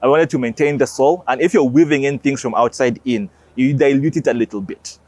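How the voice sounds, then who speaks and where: A man's voice: medium pitch, a little fast, darkish tone, dry recording. A man giving emphatic, explanatory interview answers as an animation creator.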